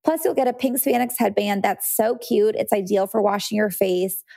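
A woman's voice: medium pitch, normal speed, gravelly, dark tone. A young adult woman hosting a podcast in a bubbly, chatty way, her voice a little scratchy. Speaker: podcast host. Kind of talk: casual podcast chat.

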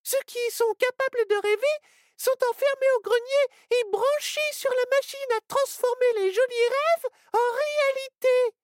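A man voicing a tiny frantic squirrel in a storybook reading, with a squeaky, urgent voice. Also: moderate pace, no room sound, clean studio sound.

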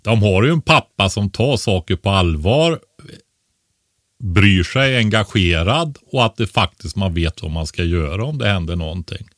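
Low-voiced man giving casual practical advice. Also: animated, a bit bright, dry recording, studio quality. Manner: explanatory, often ending points with a questioning tag. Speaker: expert podcast co-host giving preparedness advice.

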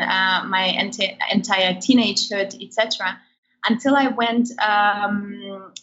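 A woman's voice: medium pitch, fairly bright tone, muffled recording, a little hiss or hum. A woman who grew up in Germany, a podcast guest explaining her project with frequent hesitant ums. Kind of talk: interview conversation.

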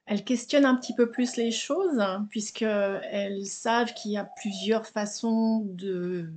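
A woman's voice: medium pitch, unhurried, darkish tone. A middle-aged French woman speaking as an interview guest, explaining things steadily with frequent fillers. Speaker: guest, mother and business founder. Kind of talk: casual conversational interview.

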